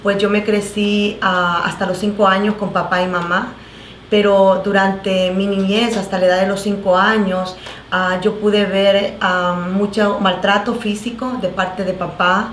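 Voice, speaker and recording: Salvadoran lady pastor sharing her testimony, halting, lots of fillers, echoey room, faint noise. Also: medium pitch, little pitch movement. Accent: Salvadoran Spanish. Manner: earnest, halting, many fillers and restarts. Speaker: pastor, guest. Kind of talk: testimony in a talk show.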